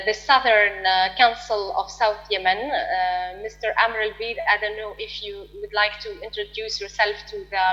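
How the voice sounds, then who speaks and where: Female panel moderator, Arabic accent, bright tone, hesitant delivery full of pauses and restarts. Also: medium pitch, poor audio. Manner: halting, many fillers and restarts. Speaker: conference moderator. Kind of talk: hesitant conference chairing.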